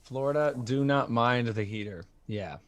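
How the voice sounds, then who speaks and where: A man's voice: medium pitch, normal speed, smooth. A man in his twenties hosting a casual livestream chat, reading out chat and joking around. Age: 20s. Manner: joking, reads chat aloud. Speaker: livestream host.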